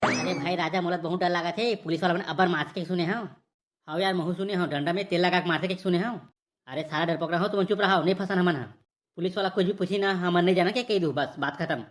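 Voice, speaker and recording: A woman's voice: lowish voice, fast talker, lightly acted. A woman voicing jittery, wisecracking young village men in a Chhattisgarhi comedy cartoon, rustic, whining and argumentative. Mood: fretting.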